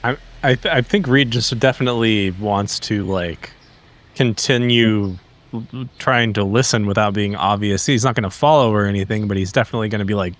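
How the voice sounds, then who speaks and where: A man's voice: on the low side, fairly quick. A man chatting casually as a player in a tabletop roleplaying game.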